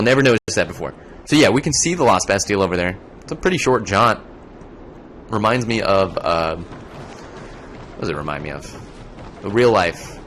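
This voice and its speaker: A man's voice: lowish voice, a bit bright, slight background noise. A young man streaming a video game, chatting casually, rambling and joking as he plays. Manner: rambling, joking, breaks into goofy voices. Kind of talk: casual gaming commentary.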